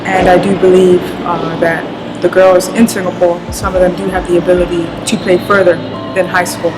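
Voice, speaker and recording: Woman, basketball coach, low voice, flat steady delivery, loud music behind. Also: low-quality recording. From London. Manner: measured and earnest, leans on "you know". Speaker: basketball coach and team director. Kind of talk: interview talk to camera.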